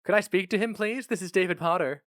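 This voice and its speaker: A man's voice: high-pitched, quick pace, fairly bright tone, no room sound, clean studio sound, voice pushed up. A man voicing a mild, polite, flustered young office worker in a story reading. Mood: polite, unsure.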